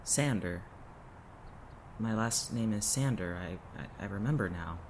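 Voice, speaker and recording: A man playing a dazed, drugged and subdued young man in an audio drama, speaking in a halting, muted way. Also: fairly low pitch, background noise, close to an everyday voice. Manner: halting, flat, muted delivery.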